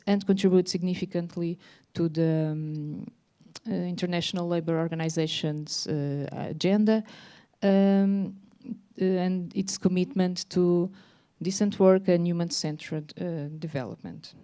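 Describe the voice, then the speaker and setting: A woman giving a formal academic presentation as a researcher at a conference, in a hesitant, halting manner. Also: fairly low pitch, mellow, smooth.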